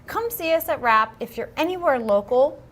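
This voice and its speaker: A woman's voice: high voice, lively intonation, moderate pace, a bit bright. A woman co-hosting a casual livestream, chatty and upbeat, with plenty of ums and a self-deprecating streak. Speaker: livestream co-host.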